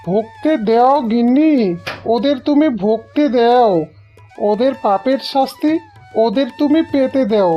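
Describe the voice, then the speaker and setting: A woman's voice: sits high, a little slow, lo-fi sound, soft background music. A woman voicing a smug callous husband. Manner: dismissive, self-satisfied. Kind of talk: Bengali cartoon voice acting.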